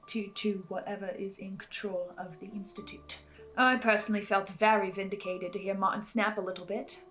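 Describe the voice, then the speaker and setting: A woman hosting a video log, giving semi-scripted commentary in a thoughtful, slightly halting way. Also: mid-range voice. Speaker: host of a podcast reaction video log.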